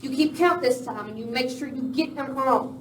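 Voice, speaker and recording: Actress as tough female Western gang boss, high voice, curt and threatening, live radio play in an echoey room. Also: moderate pace, close to an everyday voice. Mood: stern, commanding. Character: tough, ruthless young woman boss of Western outlaws. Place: live performance in a village venue. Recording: echoey hall, distant mic, faint noise.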